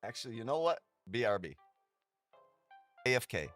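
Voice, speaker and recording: A man's voice: medium pitch, big pitch swings, moderate pace, a bit bright, soft background music. A man hosting a day-trading livestream, chatting casually, joking and swearing often. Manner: joking, profane, laughs often.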